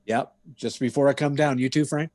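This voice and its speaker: A stoic police detective from an old-time radio crime parody, acted by a man. He speaks in clipped, matter-of-fact phrases at a medium pitch, completely deadpan. The sound is close and dry, with no room around it. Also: a little fast, no echo, natural voice. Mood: terse, dry. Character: stoic, no-nonsense male police detective narrating his case.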